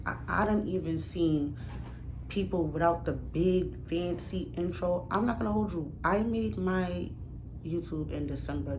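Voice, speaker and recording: A young woman from New York with a New York accent, giving casual, emphatic advice straight to camera in a slangy, direct way that repeats her points. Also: low voice. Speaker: new YouTuber. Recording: audible background noise.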